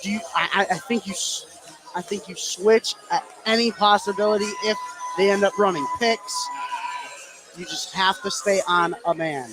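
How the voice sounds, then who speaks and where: A man with a high voice gives color commentary at a basketball game. He is analytical and often poses questions to his broadcast partner. Music plays clearly behind him. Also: fairly even intonation, moderate pace, low-quality recording. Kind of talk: live sports analysis.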